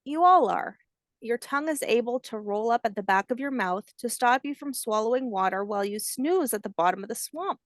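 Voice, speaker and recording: A woman voicing a kindly, patient old grandmother alligator, telling facts in a gentle storybook manner. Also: a little high, expressive, a little fast, dry recording, clean studio sound, close to an everyday voice. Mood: proud, instructive. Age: older.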